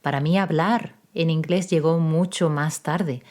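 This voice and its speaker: Low-voiced Spanish woman hosting a podcast. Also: steady tone, a little slow. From Spain, Málaga. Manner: warm encouraging interviewer with frequent ujú backchannels. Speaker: podcast host, Spanish teacher. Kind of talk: scripted intro then interview questions.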